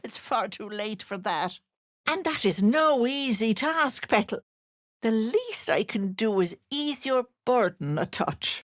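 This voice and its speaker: Old hag, game villain, sugary and menacing, animated swooping pitch, unhurried, dry close sound. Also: female voice, no room sound. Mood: mock sympathy. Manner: sugary endearments with sly, mocking menace. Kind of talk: video game character acting.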